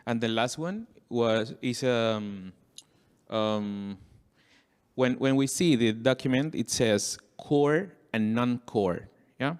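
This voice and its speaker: A man in the audience asking questions haltingly, searching for words. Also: mid-range voice, smooth. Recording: long reverb of a conference hall.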